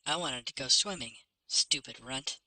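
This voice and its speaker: Man voicing a sneering bright-voiced young werewolf bully. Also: medium pitch, unhurried, dry recording. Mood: scornful. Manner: taunting, boastful. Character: bossy scornful young male werewolf trainee.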